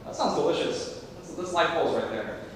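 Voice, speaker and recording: A man's voice: animated, a little fast, lightly acted. A man playing an eager, good-natured younger helper in a community theatre comedy. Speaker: amateur stage actor. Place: theatre stage, distant microphone. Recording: echoey hall from far off, rumble.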